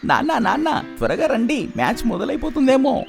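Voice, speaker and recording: An adult performer voicing an eager, excitable young boy in a children's cartoon, exclaiming his lines. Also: medium pitch, moderate pace, gravelly, faint music behind. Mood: excited.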